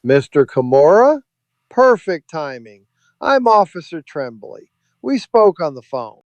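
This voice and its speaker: An actor playing a gruff, impatient police officer in an audio drama, brusque and exasperated. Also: male voice, fairly high pitch, sing-song, measured pace, dry recording.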